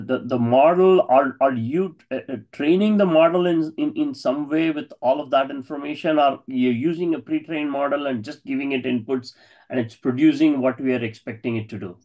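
A man's voice: mid-range voice, moderate pace, darkish tone, poor audio. Hesitant male student asking a question. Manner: hesitant, repeats words. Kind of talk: question in a class.

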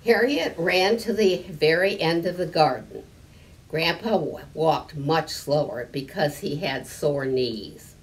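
A woman in her eighties reading a children's storybook aloud, haltingly, now and then repeating a word. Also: sits low, animated, poor audio. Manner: halting, stumbling and repeating words. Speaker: story time reader. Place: library sitting area indoors.

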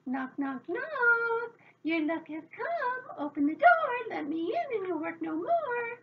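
A woman voicing bossy little fairies who call out loudly in sing-song chants. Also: extremely high pitch, very animated, slow pace, muffled recording, a little hiss or hum, voice pushed up, heavily acted. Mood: eager, insistent.

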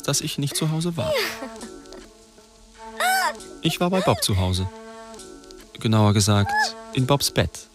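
An actor playing a wry, shameless male reporter narrating in the first person in a German radio play. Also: on the low side.

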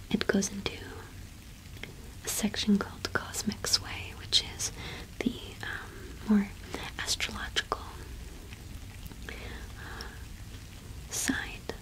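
Slow whispering young woman. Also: mid-range voice, poor audio. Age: young adult. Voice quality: breathy whisper. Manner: slow, gentle, unhurried. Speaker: ASMR roleplay performer playing a shopkeeper witch. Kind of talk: ASMR roleplay, casual shop chat. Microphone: close microphone.

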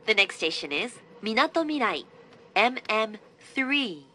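A woman delivering a formal, scripted train announcement, measured and clearly enunciated. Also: a little high, big pitch swings, bright, forceful tone. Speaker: English-language train announcer.

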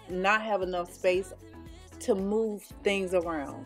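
Middle-aged woman, casual chatty voice-over, mid pitch, light music underneath. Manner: chatty, explaining with frequent ums. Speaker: home vlogger.

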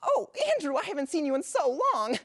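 A woman's voice: mid-range voice, expressive, normal speed, dry recording, clean studio sound, close to an everyday voice. A woman playing a sweet young girlfriend, gushing and cooing in a bubbly way in a stage comedy. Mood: delighted.

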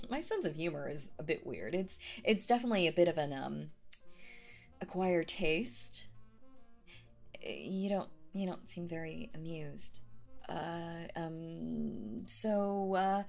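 A woman's voice: fairly low pitch, vocal fry, darkish tone. A woman playing a sweet but possessive, obsessive young woman on a date in a roleplay audio, stammering nervously and prone to jealousy. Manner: flustered, stammering, nervous giggles, swinging to jealous. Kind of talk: roleplay audio acting, intimate one-sided dialogue.

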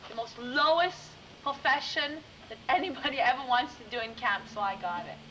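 High-voiced young Israeli woman, noisy camcorder. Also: animated, brisk, lo-fi sound. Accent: Israeli with some New York pronunciations. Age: young adult. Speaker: summer camp outdoor cooking counselor. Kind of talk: casual chat to a home video camera. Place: open wooden shelter in woods. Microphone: camcorder mic a few meters away. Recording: noisy outdoor camcorder audio.

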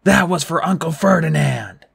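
Lady as a young satyr guy, bleaty and yelling, scared but brave, kinda raspy. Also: mid-range voice, animated, drawn-out words, voice pushed up, heavily acted. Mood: frantic bravery. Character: frightened, brave young male satyr sidekick.

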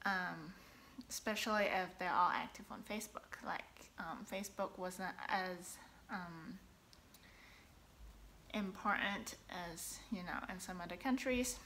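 A young woman from Hong Kong giving casual business tips in a relaxed, chatty way, with many ums, filmed at close range in a bedroom. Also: lowish voice, a little slow, a little hiss or hum. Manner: chatty, many ums, talks with her hands. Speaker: online boutique seller. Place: bedroom, indoors, seated on a sofa.

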